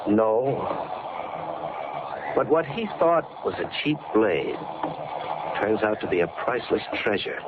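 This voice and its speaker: Deep-voiced, brooding radio-drama hero. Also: male voice, sing-song, slow, long pauses, heavily acted. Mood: brooding wonder. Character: earnest, brooding male survivor of a murder plot. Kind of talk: 1940s radio drama acting. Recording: noisy lo-fi vintage radio recording.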